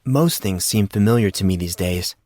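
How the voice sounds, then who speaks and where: A man's voice: low voice, normal speed, darkish tone, dry recording. Man playing an earnest, unsure teenage boy, dry sound. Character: 14-year-old boy, uncertain amnesiac high school freshman. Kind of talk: audiobook character dialogue.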